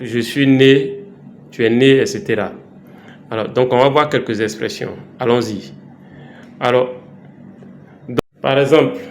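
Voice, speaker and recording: A man with an Ivorian French accent teaching a casual language lesson, slow and repetitive with frequent hesitations. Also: mid-range voice. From Côte d'Ivoire. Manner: slow, repeats words for learners, frequent hesitations. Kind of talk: informal language lesson vlog. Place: travelling, recorded in an echoey room. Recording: echoey room, faint background hiss.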